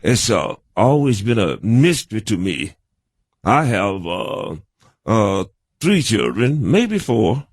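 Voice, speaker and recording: Older American man, halting and folksy, lowish voice. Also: very animated, breathy edge, no room sound, studio quality. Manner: halting, wordy, folksy turns of phrase. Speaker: guest being interviewed. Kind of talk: conversational, reminiscing interview answers.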